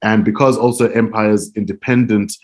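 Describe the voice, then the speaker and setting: A man speaks in a fairly low voice. He answers interview questions earnestly and thoughtfully, and he often breaks into soft laughter. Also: fairly even intonation, normal speed. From Zimbabwe. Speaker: interview guest, music publishing director.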